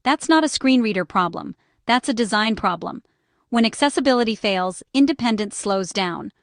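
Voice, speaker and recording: A woman's voice: mid-range voice, expressive, normal speed, gravelly, no room sound, studio quality. A woman reading a scripted explainer narration in short, instructional phrases. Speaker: narrator and small business owner explaining accessibility.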